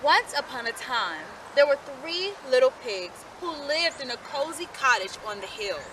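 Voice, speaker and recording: Expressive female storyteller, high and sing-song, outdoor traffic noise. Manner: slow, expressive storybook reading. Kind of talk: children's story read aloud.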